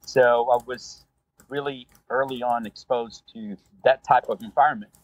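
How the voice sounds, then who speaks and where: Brazilian man with a husky voice. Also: mid-range voice, normal speed, no room sound, rough recording. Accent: Brazilian Portuguese. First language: Portuguese. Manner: relaxed, rambling, many fillers. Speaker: rideshare driver guest. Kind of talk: casual interview storytelling.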